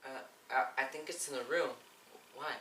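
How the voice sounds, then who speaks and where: A woman's voice: a bass voice, steady tone, moderate pace, a bit bright. A young woman in an amateur stage play acting a cautious, skeptical parent, shaken and reluctant. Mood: reluctant, dismayed. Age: young adult. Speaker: student actor. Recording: noisy echoey room from far off.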